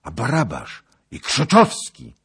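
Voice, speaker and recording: A man's voice: mid-range voice, huge pitch swings, slow pace, creaky, fairly bright tone, slightly rough, voice pushed up, full character voice. A man voicing a blustering older nobleman in a historical storybook, exclaiming and grumbling in colourful language. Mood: shocked, demanding.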